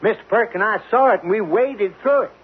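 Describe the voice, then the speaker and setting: High-pitched flustered rig hand played by a man. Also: brisk, natural voice. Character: deferential, defensive male rig hand. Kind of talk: old-time radio drama acting. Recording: muffled, crackly old radio recording.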